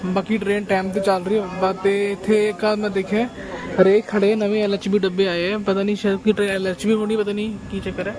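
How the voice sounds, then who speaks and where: A man's voice: sits high, flat delivery, fast talker. A man chatting easily through a train journey vlog, recorded on a handheld phone. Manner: chatty, easygoing running commentary. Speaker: train travel vlogger. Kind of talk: casual travel vlog narration. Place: on a train and station platforms. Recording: crowded station noise. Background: busy station crowd and trains.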